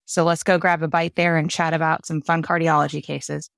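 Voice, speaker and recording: A woman with a notably deep voice talks in a relaxed, conversational manner. Her voice is close and dry, with no room sound around it. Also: fairly quick, gravelly, no echo. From United Kingdom, raised in Canada. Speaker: cardiology fellow, guest.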